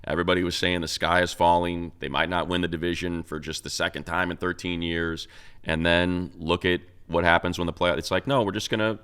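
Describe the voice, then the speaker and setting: A man's voice: low voice, little pitch movement, a little fast, fairly bright tone, clean tone. A man hosting a sports talk show, casually analyzing in a conversational, explanatory way and posing rhetorical questions.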